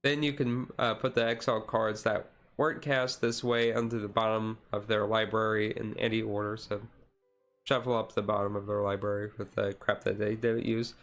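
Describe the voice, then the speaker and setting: Casual, halting man streamer, chill delivery. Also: on the low side, flat delivery, fairly bright tone, soft background music. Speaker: streamer host. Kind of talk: casual card game deck commentary, chill ASMR stream.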